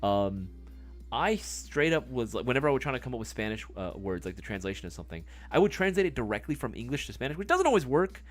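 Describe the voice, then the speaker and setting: A man's voice: medium pitch, very animated, fast talker, soft background music. A man hosting a live stream in casual chat, reading viewer comments, rambling with many fillers and casual swearing.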